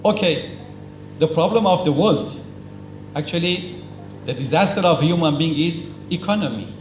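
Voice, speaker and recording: A man's voice: fairly high pitch, expressive, moderate pace. A man giving a formal speech at a cultural celebration, lecturing emphatically in simple non-native English, asking questions and then answering them himself. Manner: lecturing, asks and answers his own questions, emphatic repetition. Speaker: speaker at a cultural event. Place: hall at a celebration. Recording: echoey hall with faint noise.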